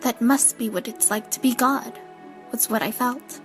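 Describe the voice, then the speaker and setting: A woman playing a reserved, brooding teenage girl in an amateur audio drama, measured and guarded in manner. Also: fairly high pitch, faint music behind, close to an everyday voice. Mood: wistful.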